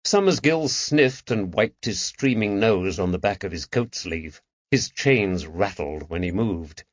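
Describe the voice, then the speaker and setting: Animated male audiobook narrator, dry sound. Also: on the low side, measured pace, no room sound, highs cut off. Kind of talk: scripted dramatic story narration.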